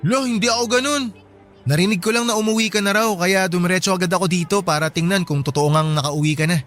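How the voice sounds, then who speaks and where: An actor playing a loyal, respectful younger brother in a radio drama, speaking in an earnest, friendly way. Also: male voice, high voice, fast, a bit bright. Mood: eager. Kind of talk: Tagalog radio drama acting. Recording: background music under dialogue.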